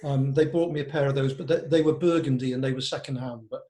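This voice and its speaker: A man's voice: medium pitch, steady tone, quick pace, dark tone. An older man from Cardiff reminiscing in a casual interview, chatty and full of anecdote, recorded with a roomy echo. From Cardiff, Wales; born in Devon. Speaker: interview guest, walking football manager. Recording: roomy, echoing sound.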